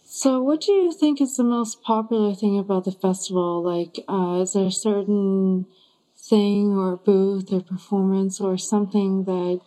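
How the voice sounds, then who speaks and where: Attentive woman interviewer, mellow tone. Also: mid-range voice, steady tone, unhurried, poor audio. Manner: attentive, lots of "mm-hmm" and "right". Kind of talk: interview with frequent backchannel.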